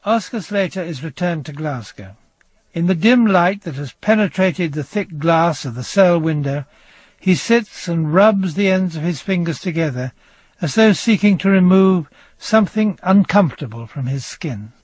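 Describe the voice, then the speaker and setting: A man narrating a true-crime radio drama in a grave, measured, literary style. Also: high voice, expressive, darkish tone, husky, no echo. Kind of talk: scripted dramatic documentary narration.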